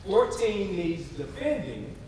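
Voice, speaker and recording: A man performing as an impassioned history narrator in a staged reading, with emphatic, deliberate phrasing. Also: high voice, big pitch swings, a crowd in the background, exaggerated voice. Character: impassioned male narrator of civil rights history. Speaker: stage performer in readers theatre. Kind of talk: dramatic staged reading, oratory. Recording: echoey hall, distant mic, audience responses.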